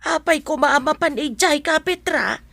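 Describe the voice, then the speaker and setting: A woman's voice: high voice, normal speed, vocal fry, fairly bright tone, no echo, studio quality. A woman with an Ilocano accent playing a protective, worried mother of a grown child in a radio drama. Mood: defensive.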